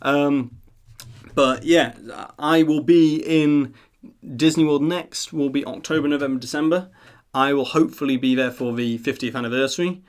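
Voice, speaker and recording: A man's voice: fairly high pitch, steady tone, moderate pace. A man hosting a live stream, chatting casually in an earnest, rambling, self-deprecating way. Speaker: live stream host of a theme park news channel.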